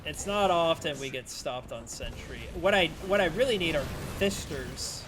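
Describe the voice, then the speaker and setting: A man's voice: fairly high pitch, expressive, moderate pace, noisy background. A man streaming a game and chatting casually with viewers, his voice strained and wearing thin. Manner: rambling, chatty, answering chat between plays. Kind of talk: casual live-stream gameplay commentary.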